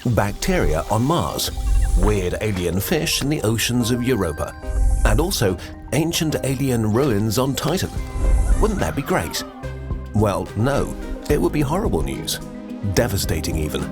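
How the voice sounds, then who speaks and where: A man's voice: lowish voice, big pitch swings, unhurried. A man reading scripted documentary narration in a dramatic style, asking rhetorical questions. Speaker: video narrator. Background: music bed.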